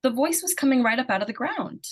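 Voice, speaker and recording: A woman playing an excitable, earnest little girl who narrates an old-time radio drama in a folksy, childlike way. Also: high-pitched, fast talker, breathy edge. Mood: alarmed. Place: remote live performance over video call.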